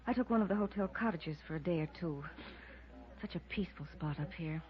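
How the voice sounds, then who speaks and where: A woman's voice: fairly low pitch, a little fast, close to an everyday voice. An American woman acting a polite, bewildered, grieving widow in a 1950s radio drama. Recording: dull vintage radio recording.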